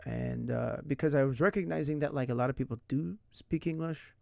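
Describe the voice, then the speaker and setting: A young man streams late at night, talking through his thoughts in a loose, rambling way and dropping "like" and "right?" into most sentences. His voice has a gravelly, fried edge and a mid pitch. The sound is dry and close. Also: brisk, mellow, dry recording. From San Diego, United States. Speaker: language learning streamer. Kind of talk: casual livestream chat. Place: indoors at night.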